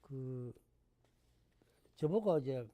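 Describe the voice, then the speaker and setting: A man's voice: mid-range voice, lively intonation, extremely slow, warm tone. Older Gyeongsang-accented man telling stories. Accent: Gyeongsang Korean. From Gyeongsang province, Korea. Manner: storytelling, chuckling, self-deprecating. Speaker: guest, former education official. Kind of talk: relaxed talk-show interview.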